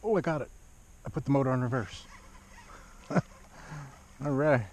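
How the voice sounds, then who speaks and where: A middle-aged man filming himself fishing from a kayak on a lake, swinging between excited exclamations and muttered asides. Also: mid-range voice, a little slow. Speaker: fishing vlogger. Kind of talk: casual fishing commentary. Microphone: action camera mounted on the kayak. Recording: dull outdoor action-camera audio with wind and water noise.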